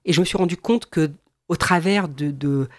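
A woman's voice: sits low, normal speed, no room sound, studio quality. A woman singer being interviewed, talking hesitantly with frequent restarts and eager repeated agreement. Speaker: singer, guest. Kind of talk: radio interview.

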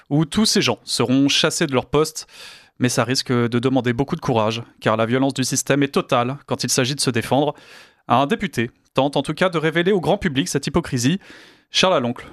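A man's voice: mid-range voice, brisk, no echo, studio quality. A man reading scripted political commentary in a sardonic, indignant manner. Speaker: commentator presenting news clips.

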